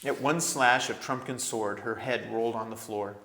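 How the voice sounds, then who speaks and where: A man narrating a storybook aloud in an expressive, scripted read-aloud style. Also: mid-range voice, moderate pace. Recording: echoey room.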